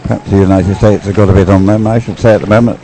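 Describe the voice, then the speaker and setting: A man's voice: on the low side, fairly even intonation, fast talker, mellow. A man giving live race commentary in a rambling, hesitant, informal way, explaining as it goes. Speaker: sports commentator.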